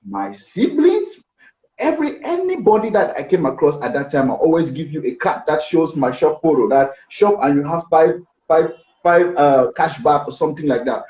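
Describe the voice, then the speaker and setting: A man's voice: fairly high pitch, a little mellow. A middle-aged man with a Cameroonian accent, telling personal stories conversationally as an interview guest on a video call. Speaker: interview guest, business executive. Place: indoor office. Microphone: video call, seated back from device. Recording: echoey, dull video-call audio.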